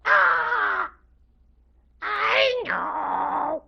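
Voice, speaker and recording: A woman voicing an excitable, vain, hungry crow in a storytelling, shrill and squawking, with loud repeated exclamations. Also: very slow, creaky, muffled recording, full character voice.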